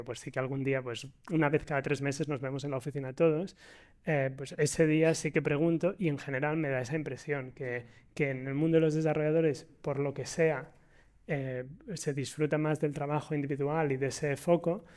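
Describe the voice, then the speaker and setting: Man, fairly high voice, panelist, insistent, repeats himself. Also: a little mellow. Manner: insistent, repeats himself when pushed back. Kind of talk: panel discussion.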